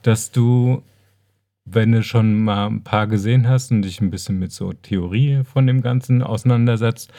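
A man's voice: lowish voice, fairly even intonation, normal speed, mellow. A middle-aged man co-hosting a podcast, giving his opinions in casual, colloquial talk. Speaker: podcast co-host. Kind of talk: casual chat.

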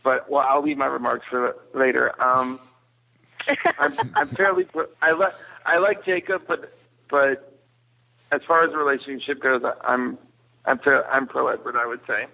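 Creaky male guest, muffled lo-fi line. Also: medium pitch, moderate pace. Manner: hesitant, restarts phrases. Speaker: podcast guest reviewer. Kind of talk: casual podcast discussion.

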